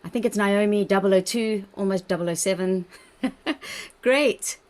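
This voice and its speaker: A woman's voice: medium pitch, measured pace. A woman with a South African accent, a dietitian leading an online wellbeing workshop on a video call in an encouraging, chatty manner. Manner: warm, encouraging, chatty with laughs. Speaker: workshop presenter, registered dietitian.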